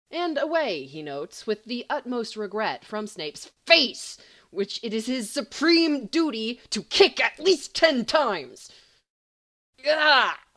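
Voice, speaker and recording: A woman reading scripted fiction aloud as an expressive, dramatic storyteller. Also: on the high side. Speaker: audiobook reader.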